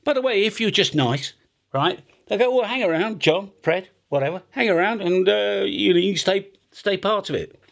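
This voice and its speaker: Male host, British accent, informal and talkative, swinging pitch, explains by acting out little exchanges. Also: moderate pace, vocal fry. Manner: chatty, animated, acts out example exchanges. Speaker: ham radio video host. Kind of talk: casual explainer chat.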